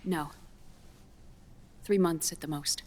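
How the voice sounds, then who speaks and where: A woman playing a grave scientist in a video game cutscene, explaining grim findings in a measured, solemn way. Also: low-pitched, steady tone, a little mellow, slight background noise. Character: grave female scientist delivering a grim diagnosis.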